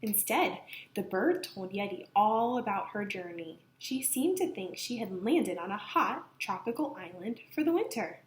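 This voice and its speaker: A woman reading a children's picture book aloud with expressive, dramatic emphasis. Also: medium pitch, moderate pace. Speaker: storybook reader. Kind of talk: animated children's read-aloud narration. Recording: echoey room, slight background noise.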